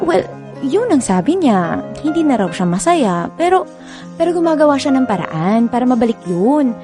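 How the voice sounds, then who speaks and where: Female, Filipino accent, acting as candid caring best friend in radio drama; highish, swooping delivery, music bed behind. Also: big pitch swings, moderate pace. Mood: candid, reassuring. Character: frank, caring female best friend giving love advice.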